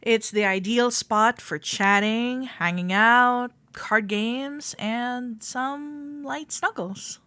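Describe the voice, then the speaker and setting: Woman acting friendly club member, higher-pitched, slow-paced, gentle, softly teasing, reassuring, roleplay audio for the listener. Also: clean tone. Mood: welcoming. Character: friendly, teasing female cuddle club member giving a tour.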